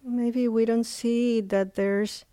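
A woman leading a guided meditation as a teacher, speaking in short phrases with long, unhurried pauses. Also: fairly high pitch, steady tone, dark tone, dry recording, clean studio sound.

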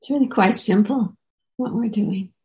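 A woman teacher leading a guided meditation in a soft, relaxed way, leaving gentle pauses. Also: mid-range voice.

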